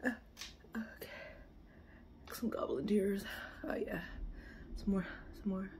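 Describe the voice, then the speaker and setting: A woman's voice: medium pitch, fairly even intonation, slow pace, darkish tone, whispered, close to an everyday voice. A woman voicing a self-pitying goblin creature who fake-sobs, whines and sniffles through a comedic monologue to camera. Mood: whiny sobbing. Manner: fake sobbing, whining and sniffling.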